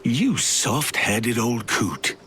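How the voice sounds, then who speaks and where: A man's voice: medium pitch, lively intonation, extremely slow, fairly bright tone, a little hiss or hum. An actor playing a brash, wry pirate captain in a game cutscene, blunt and colloquial in his seafaring speech. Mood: wry, fond. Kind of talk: dramatic game cutscene acting.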